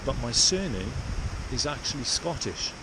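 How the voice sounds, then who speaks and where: A man from South Wales, chatting casually and haltingly to camera as he explores outdoors. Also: medium pitch, sing-song. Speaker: vlogger, artist explorer. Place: outdoors in rainy, windy hills. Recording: loud wind and outdoor noise.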